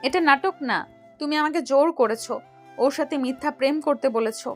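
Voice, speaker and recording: A woman playing a high-voiced remorseful younger sister. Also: moderate pace, soft background music, lightly acted. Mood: hurt, earnest. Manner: pleading, soft and earnest. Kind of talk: audio love-story acting.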